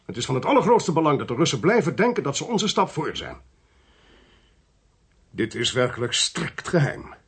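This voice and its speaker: Husky, calculating intelligence man. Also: fairly high pitch, sing-song, normal speed, long pauses, a bit bright, exaggerated voice. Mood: confiding, grave. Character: cold, smooth-talking male intelligence official, calculatingly ruthless. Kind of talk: Dutch radio play acting.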